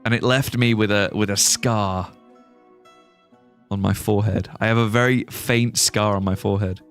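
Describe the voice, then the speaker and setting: Young british guy streaming a game, gravelly fry in his voice, music faint behind. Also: on the low side, fairly even intonation, normal speed, a bit bright. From born in Singapore, raised British. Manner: rambling, restarts sentences, laughs at chat jokes. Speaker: livestreaming gamer. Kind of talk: casual chat while playing a game and reading chat.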